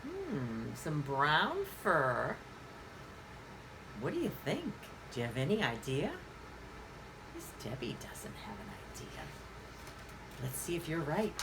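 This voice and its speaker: A woman leads story time for young children with a very animated, sing-song delivery. She takes her time, putting questions to the listeners and pausing as if they reply. There is clear background noise on the recording. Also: low-pitched. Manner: sing-song, asks questions and pauses as if for answers. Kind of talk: interactive picture-book reading for young children.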